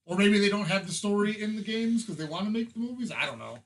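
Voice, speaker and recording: A man's voice: high voice, expressive, fast talker. A man chatting casually as a panelist on a podcast about entertainment. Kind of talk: casual film and game discussion.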